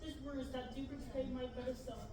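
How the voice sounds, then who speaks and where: A woman's voice: sits high, flat delivery, brisk, lightly acted. A young woman reading as a fretful fussy robot. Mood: scolding. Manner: fretful, dramatic, stumbling over lines. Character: fussy anxious humanoid robot, male. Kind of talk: amateur table reading in verse. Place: large classroom with many seated readers. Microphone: far camera. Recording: echoey room, far-off mic, background noise.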